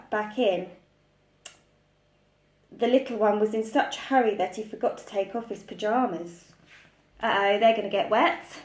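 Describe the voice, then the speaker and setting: A woman's voice: a little high, darkish tone. A woman reading a children's story expressively, speaking simply as a preschool storyteller, in an echoey room. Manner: addresses small children simply.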